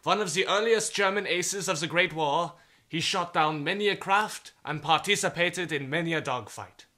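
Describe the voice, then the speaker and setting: A man's voice: fairly high pitch, moderate pace, bright, forceful tone. A man narrating as a theatrical, stern WWI flight instructor, pompous and declamatory, with old-fashioned wording in the style of a vintage military training film.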